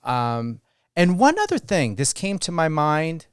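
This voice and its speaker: A man hosting a radio interview in an unscripted style, rephrasing his way through questions in a halting manner. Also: a little high, wildly swooping pitch, clear, resonant tone, no echo, clean studio sound. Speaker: radio show host.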